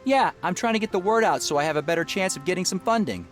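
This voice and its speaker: A boyish young man, an inventor and adventure game hero. His fairly high voice moves with lots of melody, earnest and a touch nervous, over soft background music. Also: lively intonation, fast talker. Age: young adult. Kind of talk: adventure game dialogue and musing.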